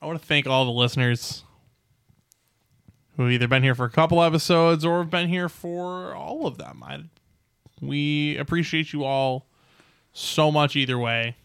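A man's voice: on the high side, vocal fry, a bit bright, clean tone, dry recording, studio quality. A young man hosting a tabletop game podcast as Dungeon Master, talking loosely and joking with his friends. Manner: loose, self-correcting, joking. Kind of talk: casual podcast chat. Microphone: studio mic.

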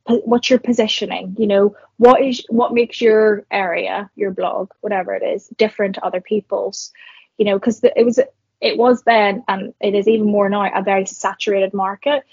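A husky young woman with a Northern Irish accent talks things through in a thoughtful, conversational way. Her voice sits dry and close on a somewhat dull, lo-fi recording. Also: medium pitch, a little mellow, no room sound. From Northern Ireland. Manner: reflective, many fillers and restarts. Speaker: guest, marketer and entrepreneur. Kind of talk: conversational podcast interview.

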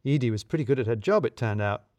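Man narrating, dry wry storytelling, lively pitch, dry close sound. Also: fast talker, dry recording. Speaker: audio drama narrator. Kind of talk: scripted first-person narration.

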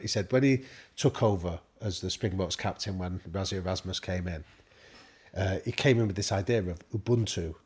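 British guy with a husky voice, kinda low, chatty storyteller doing little bits of dialogue. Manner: animated anecdotes acting out quoted dialogue. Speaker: guest expert on high performance. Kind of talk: conversational storytelling.

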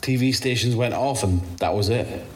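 A man with a Scottish accent playing a weary, rattled zombie-apocalypse survivor in an audio drama, speaking haltingly and swearing as he records his testimony. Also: medium pitch, a little mellow, soft background music, natural voice. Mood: weary, bitter.

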